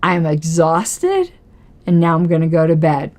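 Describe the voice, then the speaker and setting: A hoarse woman hosting a casual political commentary livestream, arguing her point with rhetorical questions. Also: sits low, very animated, normal speed, a little mellow.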